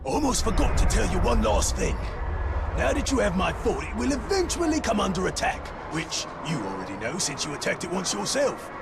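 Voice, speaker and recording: A man voicing a chatty, excitable little orc in a video game, with a gravelly, rambling, jokey delivery. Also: sits high, fairly quick, full character voice. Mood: chatty, upbeat. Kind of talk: video game character acting. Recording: music behind the voice.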